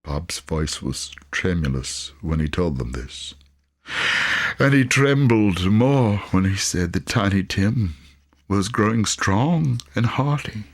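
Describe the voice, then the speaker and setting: Male audiobook narrator: low register, unhurried, highly animated, theatrical storytelling delivery. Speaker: audiobook reader.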